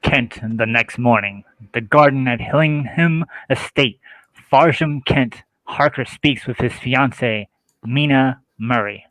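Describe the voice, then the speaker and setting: A man's voice: medium pitch, slow pace, fairly bright tone, no room sound, low-quality recording. A man narrating scenes and stage directions in an amateur script read-through.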